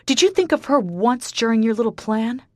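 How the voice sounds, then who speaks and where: A woman voicing a furious young man who harshly accuses and shouts at a woman. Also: fairly high pitch, lively intonation, normal speed, no echo, voice pushed up.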